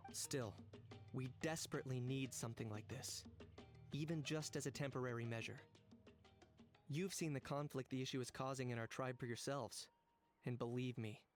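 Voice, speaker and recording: Game role: man as young monster hunter, cool-headed, businesslike, measured delivery, music underneath. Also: medium pitch, natural voice. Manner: measured, matter-of-fact. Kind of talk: video game voice acting.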